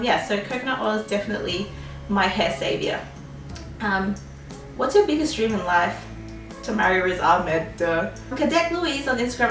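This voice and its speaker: A woman's voice: fairly high pitch, a bit bright, far from the mic. Bubbly young woman, echoey room. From Australia. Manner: bubbly, chatty, giggly, many "um"s and "like"s. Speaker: vlogger. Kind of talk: casual Q&A chat.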